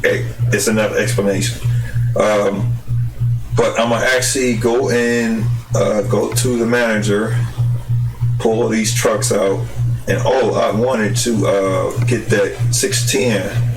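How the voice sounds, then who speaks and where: Gaming host, male, hoarse and tired, rambling low voice, roomy and off-mic with music behind. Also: little pitch movement, takes it slowly, far from the mic. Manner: rambling, many fillers and restarts. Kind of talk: casual game commentary.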